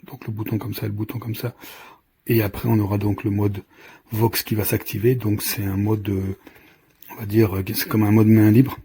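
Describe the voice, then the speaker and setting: Male product reviewer, casual and halting, with a mellow, gravelly voice. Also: fairly low pitch, little pitch movement. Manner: hesitant, halting explanation with trailing restarts. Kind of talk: casual hands-on product demonstration.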